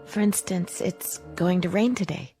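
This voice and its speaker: An actress playing a gentle, faithful woman survivor in a radio drama, with a hesitant, tender delivery. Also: lowish voice, unhurried, soft background music, lightly acted. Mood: quiet hope.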